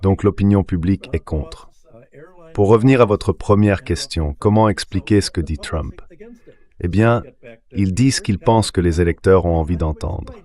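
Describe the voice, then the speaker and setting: A man's voice: deep voice, moderate pace, mellow. A synthetic male voice reading translated interview commentary, explaining things by posing questions and answering them. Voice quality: synthetic text-to-speech voice. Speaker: political and economic commentator, interview guest.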